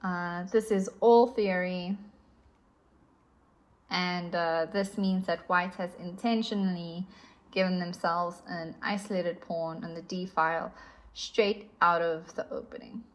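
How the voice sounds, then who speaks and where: A woman's voice: fairly low pitch, steady tone, slow. A young woman with a South African accent, patiently explaining chess positions as an instructor. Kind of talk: explaining chess moves on a board.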